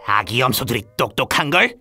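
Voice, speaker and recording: Storybook big bad wolf: gruff, greedy schemer, very animated pitch, clear music behind. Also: female voice, exaggerated voice. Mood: sly, scheming. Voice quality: gruff, gravelly. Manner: drawn-out, scheming delivery. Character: big sly greedy male wolf, putting on mother's voice. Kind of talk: children's fairy-tale acting.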